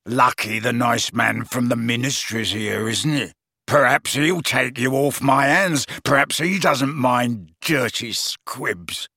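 A man's voice: on the high side, very animated, unhurried, vocal fry, sharp tone, breathy edge, dry recording, studio quality, heavily acted. A man voicing a vicious old wizard father in an audiobook, bellowing and jeering with contempt. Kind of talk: dramatised audiobook character voice.

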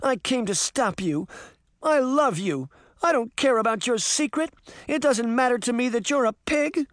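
A man voicing an excitable, lovestruck woman who is bold and pleading, in an audiobook story. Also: high voice, moderate pace, dry recording, studio quality, voice pushed up.

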